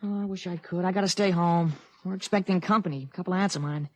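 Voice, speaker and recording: A woman playing a polite, secretive thirteen-year-old boy in 1950s radio drama style, hesitant and guarded, with a slightly husky voice. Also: on the low side, fast talker, darkish tone, dry recording, close to an everyday voice. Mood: friendly, casual.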